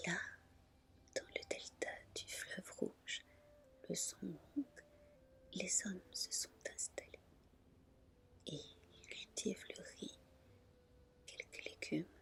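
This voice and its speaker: A woman reading a story aloud as ASMR in a breathy whisper, soft, gentle and unhurried. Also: medium pitch.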